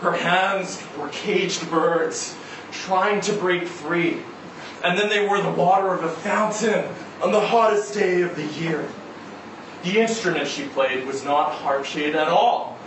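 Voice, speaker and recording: A man giving a dramatic live reading of a short story, with measured, deliberate phrasing and pauses. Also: high voice. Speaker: story reader at a live literary event. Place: indoor event venue before a live audience. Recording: echoey room, distant mic, noisy background.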